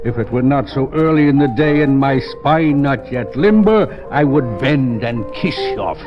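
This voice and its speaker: An actor playing a down-and-out barfly informant in a 1950s radio drama, speaking in pompous, mock-courtly flourishes full of self-pity. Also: male voice, medium pitch, expressive, a little slow, lightly acted. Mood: effusive. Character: down-and-out grandiloquent male barfly informant, theatrically self-pitying. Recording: muffled vintage radio recording with music.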